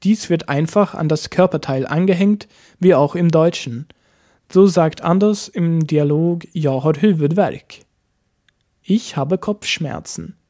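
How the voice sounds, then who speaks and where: A man teaching a language lesson, explaining each point slowly step by step. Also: a little high, darkish tone. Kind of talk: lesson narration.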